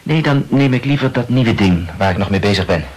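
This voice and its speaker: An actor playing a pensive male novelist in a Dutch radio play, explaining his ideas in an insistent, musing way with a husky voice. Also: mid-range voice, animated, fairly quick, darkish tone, lightly acted. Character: Neumann, pensive male novelist theorizing earnestly about his work.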